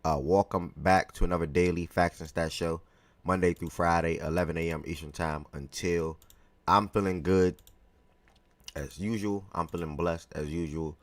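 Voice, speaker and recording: A man with a very low voice hosts a live sports talk stream from home. He rambles in a relaxed, chatty way and greets viewers by name, his voice a touch hoarse. The sound is close and dry on a desk mic. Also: normal speed, no echo. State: slightly hoarse from yelling the day before. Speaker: live show host.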